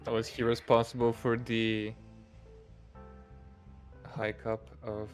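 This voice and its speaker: A man's voice: medium pitch, completely flat delivery, takes it slowly, soft background music. A man chatting casually with friends on a stream, adding dry, witty remarks. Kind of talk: casual chat.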